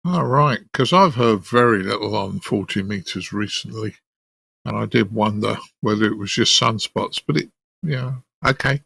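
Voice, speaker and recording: Bright, creaky-voiced podcast host, male. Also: fairly low pitch, moderate pace, no echo. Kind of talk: conversational presenting.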